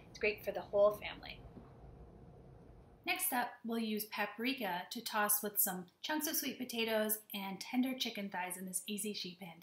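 A woman's voice: mid-range voice, fairly bright tone, a little hiss or hum. A woman in her forties, a chef, giving a friendly, upbeat cooking demonstration and explaining each step plainly. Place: home kitchen, indoors.

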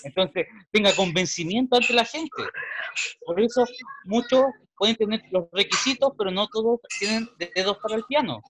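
A man's voice: high-pitched, normal speed, noisy background. A man in his forties with a Chilean accent, a history teacher explaining patiently over a video call, often restarting his sentences. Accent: Chilean Spanish. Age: 40s. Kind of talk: online class wrap-up.

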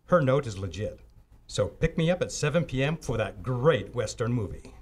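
A man's voice: mid-range voice, lively intonation, normal speed, very noisy, natural voice. A middle-aged man playing a dismissive, overworked police detective in a 1940s-style radio drama, brusque and matter-of-fact. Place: live on a stage before a curtain. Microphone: stand microphone.

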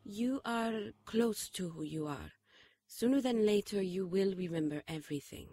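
A woman's voice: medium pitch, lively intonation, normal speed, warm tone, close to an everyday voice. A woman playing a hero's earnest, caring companion and narrator in a radio drama. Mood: concerned.

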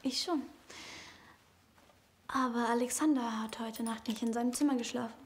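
A woman's voice: fairly high pitch, steady tone, normal speed, natural voice. A woman playing a prim, scheming teenage boarding-school girl in a children's audio drama. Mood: feigned innocence.

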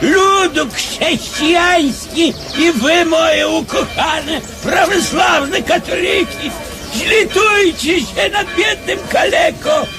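An actor playing a crafty, grumbling blind beggar in a radio play, with rustic eastern Polish borderland speech and a theatrical alms-seeking chant. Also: male voice, extremely high pitch, slow, vocal fry, over music, exaggerated voice. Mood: pleading, declaiming.

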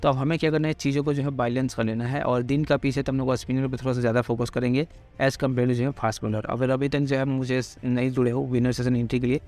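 Fast, flat-voiced man rattling off cricket stats. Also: medium pitch, soft background music. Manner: rattles off figures with constant fillers. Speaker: cricket pitch report presenter.

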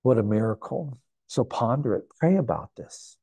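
A man's voice: mid-range voice, expressive, moderate pace, mellow, husky, no room sound. A man in his sixties preaching a sermon to camera in a church, earnest and reflective, often repeating phrases. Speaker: pastor. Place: indoor church sanctuary.

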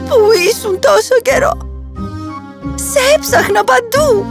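A woman playing a worried, humble middle-aged mother, fretful and deferential, in an animated fairy tale. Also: extremely high pitch, creaky, music in the background. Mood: worried, scolding.

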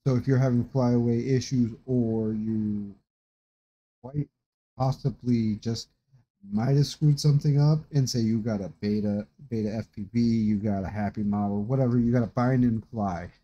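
A man in his thirties giving a casual, rambling, halting tutorial from his desk at home. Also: medium pitch, fairly even intonation, mellow, highs cut off, a little hiss or hum. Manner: rambling, halting, informal explanations. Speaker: tutorial host. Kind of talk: casual screen-share tutorial. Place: small room indoors at a desk.

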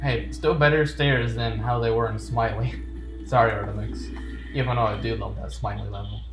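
A man's voice: lowish voice, fairly even intonation, moderate pace. A man giving playful, joking commentary while playing a game, reading on-screen text aloud. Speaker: gamer. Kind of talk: let's play commentary. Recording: roomy echo with game music. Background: game music.

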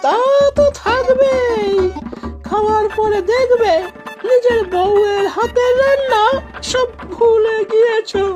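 Cartoon village elder: boastful, theatrical old man with a tiny, piercingly high voice. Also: steady tone, takes it slowly, darkish tone. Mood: boastful, teasing. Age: older. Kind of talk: animated cartoon dialogue. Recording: music behind the voice.

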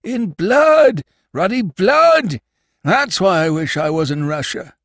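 A man's voice: high-pitched, big pitch swings, gravelly, fairly bright tone, no room sound, clean studio sound, pitched above the performer's own voice, exaggerated voice. A man voicing a shrivelled, quarrelsome old London cabman with a sour, irritable manner in a storybook reading. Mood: belligerent. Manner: sour, irritable, drawn-out emphatic delivery.

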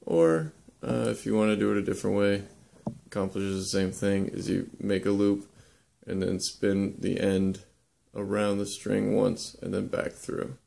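Man, young adult, low-pitched, very gravelly, relaxed halting how-to talk. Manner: relaxed, halting, many ums and uhs. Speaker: woodworking instructor. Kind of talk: casual how-to demonstration. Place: indoor workshop.

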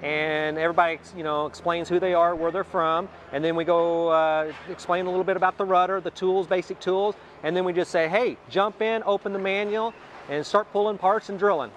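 A man's voice: high voice, steady tone, brisk, fairly bright tone, lo-fi sound, slight background noise. A man giving casual, practical explanations in an interview as a company representative and workshop instructor. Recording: music under the voice.

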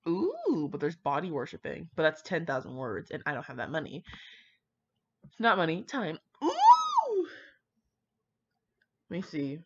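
A woman's voice: sits low, huge pitch swings, a little slow, pauses often, muffled recording, background noise. A young woman chatting casually and rambling to her viewers in an irreverent, foul-mouthed, self-mocking manner. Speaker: host of a fan fiction reading channel.

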